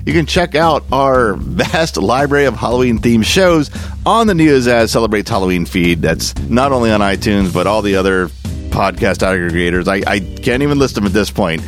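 A man's voice: lowish voice, expressive, moderate pace, faint music behind. A man hosting a podcast, signing off with chatty, rambling asides. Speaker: podcast host. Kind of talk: casual podcast outro.

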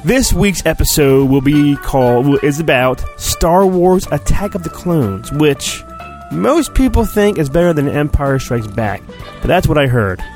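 A man with a fairly high, very animated voice reads a storybook as a comic podcast performance. He is playful and mock-earnest, breaking into chatty asides. Soft music sits behind him. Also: a little slow, hardly any pauses. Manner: playful, mock-earnest, acts out sound effects. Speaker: podcast host reading a children's storybook.

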